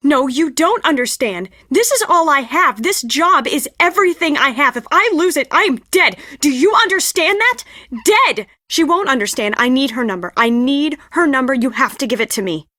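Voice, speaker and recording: A woman voicing an intense, high-strung young actress in a mystery adventure game, speaking emphatically and theatrically. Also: expressive, normal speed, pushed and bright. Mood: frantic. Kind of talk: video game voice acting.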